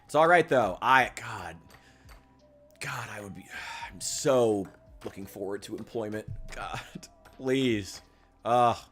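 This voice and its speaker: A young man live-streaming as he plays, chatting with viewers in a lively, profane way, sometimes hyped and sometimes muttering, his voice getting tired. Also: slow pace, pauses often, faint music behind. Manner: animated, profane, swings between loud hype and low mutters. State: losing his voice. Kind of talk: casual live-stream chat while gaming.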